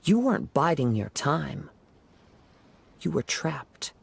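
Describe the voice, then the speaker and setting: An actor voicing a calm, perceptive young swordsman who speaks in a measured, analytical way. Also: on the high side, vocal fry, slight background noise, exaggerated voice. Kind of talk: game voice acting. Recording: game soundtrack under voice.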